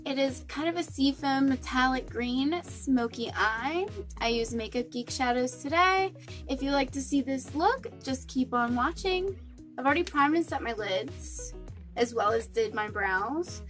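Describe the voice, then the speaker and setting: A woman's voice: high-pitched, animated, unhurried, a bit bright, soft background music. Stuffy-nosed young woman with a cold. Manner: chatty, friendly step-by-step explaining. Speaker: beauty vlogger. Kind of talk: casual makeup tutorial.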